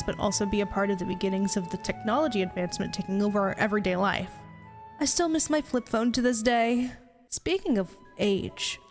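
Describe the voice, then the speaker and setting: A woman in her twenties with an American accent, a native Spanish speaker, chatting casually and playfully as a streamer introducing herself. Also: a little high. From Ohio, United States. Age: 20s. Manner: playful, giggly, self-deprecating. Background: music.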